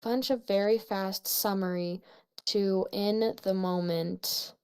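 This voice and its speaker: A woman's voice: medium pitch, fairly even intonation, slow pace, darkish tone, clear, resonant tone. A young woman co-hosting a fan fiction reading, giving casual, blunt commentary in informal interjections. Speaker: co-host critiquing fan fiction.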